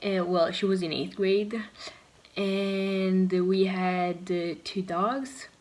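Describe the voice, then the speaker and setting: An eighteen-year-old Italian woman with an Italian accent, chatting casually about being an exchange student and pausing to search for words. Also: mid-range voice, steady tone, a little mellow. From Italy. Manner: unhurried, pauses to search for words. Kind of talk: casual vlog chat.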